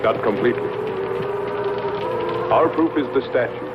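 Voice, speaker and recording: A man's voice: medium pitch, normal speed, loud music behind the voice, natural voice. Level-headed space skipper played by a man, muffled soundtrack. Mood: thoughtful. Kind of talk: 1960s science fiction film acting. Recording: muffled old film soundtrack, loud background noise.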